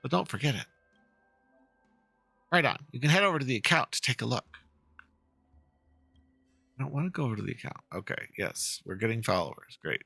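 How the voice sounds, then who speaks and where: Streaming man with a creaky voice. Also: medium pitch, lively intonation, fairly quick, long pauses, sharp tone, dry recording, soft background music. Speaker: game streamer. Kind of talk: casual livestream chat and dramatic read-aloud of game dialogue.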